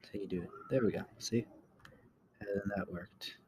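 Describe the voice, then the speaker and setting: A man giving a casual software tutorial, thinking aloud in a halting way and often trailing off. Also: fairly low pitch. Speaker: tutorial host. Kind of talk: casual screen-recorded coding tutorial. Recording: clear background noise, keyboard clicks.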